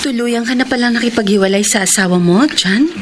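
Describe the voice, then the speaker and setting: A woman's voice: on the high side, a little fast, over loud music, lightly acted. A Filipino actress playing a tender, sentimental woman in love in a radio drama. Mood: hesitant, concerned. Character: tender, emotional woman friend turned devoted partner. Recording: noisy old radio drama recording.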